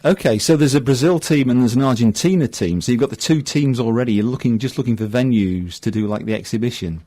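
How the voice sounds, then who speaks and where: A man's voice: mid-range voice, fast, warm tone. A man with an ordinary mid-range voice hosts a local radio show, asking questions and teasing his guests with dry jokes. He laughs easily and keeps the talk moving. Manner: jokey, laughs often. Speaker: radio presenter. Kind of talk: radio interview.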